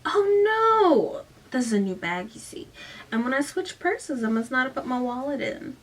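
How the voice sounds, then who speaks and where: Woman putting on a breathy sweet Southern teenage girl. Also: high-pitched, big pitch swings, distant mic, a little hiss or hum, pitched above the performer's own voice. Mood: pleading. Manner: demure, pleading, drawn-out syllables.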